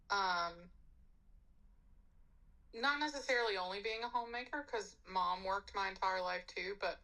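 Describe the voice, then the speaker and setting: A woman's voice: mid-range voice, sharp tone, rough recording. A woman in her thirties from North Carolina, giving casual, reflective interview answers. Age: 30s.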